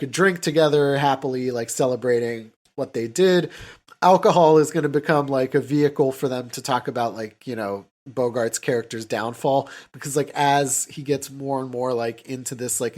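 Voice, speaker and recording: A man's voice: mid-range voice, darkish tone. A man hosting a podcast, recapping a film in a casual, rambling way with loose mimicked dialogue. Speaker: podcast host.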